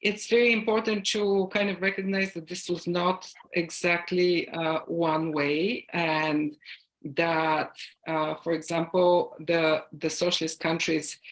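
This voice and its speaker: A woman from Bulgaria answering an audience question on a video call, speaking haltingly with many fillers and restarts. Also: lowish voice, flat delivery, rough recording. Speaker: guest speaker.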